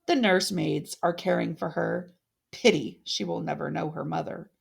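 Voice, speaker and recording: Lady doing a evil fairytale witch, low n gravelly, drawn out gloating, super menacing. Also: heavily acted. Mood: mocking pity. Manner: slow, menacing, cackling. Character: cruel gloating villainess, witch and murderer. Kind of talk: dramatic fairytale storytelling.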